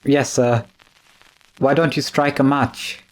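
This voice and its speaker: A man's voice: mid-range voice, fairly even intonation, slow pace, natural voice, rough recording. A man playing a deferential, uneasy manservant, polite and hesitant, in an old-time radio drama. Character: deferential, uneasy manservant following his master.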